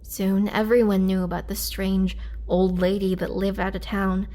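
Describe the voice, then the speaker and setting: Actress as imprisoned female vampire, roleplay drama: youthful yet centuries old, mid-pitched, sorrowful, soft-spoken storyteller with wistful pauses. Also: little pitch movement, natural voice. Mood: somber.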